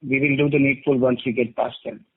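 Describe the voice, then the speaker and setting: A man with an Indian accent speaks over a narrow, tinny conference phone line. His voice sits at an average pitch, and he delivers formal, measured statements to investors as a company executive. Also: level tone, slightly rough.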